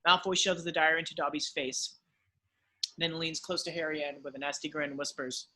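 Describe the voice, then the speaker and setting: A man's voice: on the high side, level tone, fairly quick, pushed and bright. A man reading screenplay stage directions as dramatic scripted narration.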